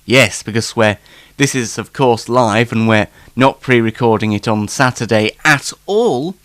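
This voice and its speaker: A man's voice: mid-range voice, unhurried, a bit bright, no room sound. A man hosting a casual solo late night talk show, rambling in a jokey, self-deprecating way, slightly stuffed up with hay fever.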